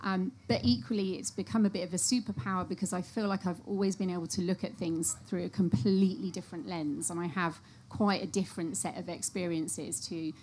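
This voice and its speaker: A woman's voice: on the low side, steady tone, brisk, hardly any pauses, darkish tone, slight background noise. A woman speaking on a design panel, candid and self-deprecating, rambling a little. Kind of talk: panel discussion.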